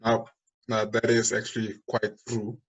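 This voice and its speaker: A man's voice: fairly low pitch, monotone, a little slow. South african guy co-hosting an interview show, sound a bit dull and lo-fi. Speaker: interview co-host. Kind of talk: conversational show introduction.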